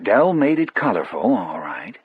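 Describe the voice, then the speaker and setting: Husky male audiobook narrator, low-pitched. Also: very animated, a little slow, no room sound, narrow, tinny audio. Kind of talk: scripted first-person story narration.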